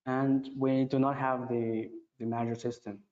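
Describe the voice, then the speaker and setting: A male presenter with non-native English works through a question-and-answer session. He reads each question out, then answers haltingly in plain, simple phrasing. His mid-pitched voice comes through muffled, with heavy room reverb. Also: little pitch movement, darkish tone. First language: non-native English speaker.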